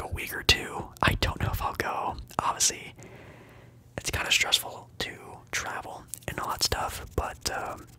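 A young adult ASMR livestream host whispering casually to viewers, rambling with fillers and repeating trigger words. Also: low-quality recording. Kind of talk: whispered ASMR chat answering live viewers.

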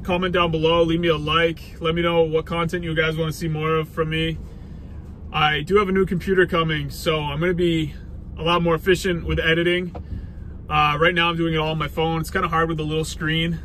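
A man's voice: fairly high pitch, fairly quick. A man in his twenties, an HVAC technician, chatting casually to a dash-mounted phone inside his parked work van. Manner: relaxed, plain-spoken, tradesman's shop talk. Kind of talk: casual vlog talk to camera. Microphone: phone camera mounted on the dashboard. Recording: loud vehicle and outdoor noise behind.

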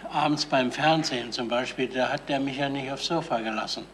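An actor as a bright-voiced, sheepish Bavarian man. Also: mid-range voice, natural voice. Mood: sheepish, pleading. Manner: earnest, halting, self-justifying. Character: sheepish, pleading suitor jealous of a dog. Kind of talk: radio crime drama acting. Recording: slightly hissy 1970s radio recording.

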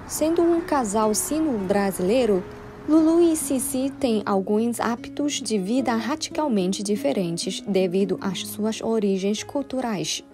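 A woman giving scripted narration for a news feature in a formal, even manner. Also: a little high, unhurried.

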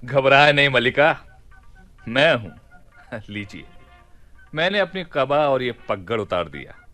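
An actor playing a commanding, mischievous caliph in a theatrical radio play. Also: male voice, mid-range voice, very animated, pushed and bright, soft background music, exaggerated voice. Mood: amused, persuasive. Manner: imperious, theatrical, deliberate. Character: commanding, mischievous caliph planning a prank in his palace. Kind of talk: Hindi radio play acting.

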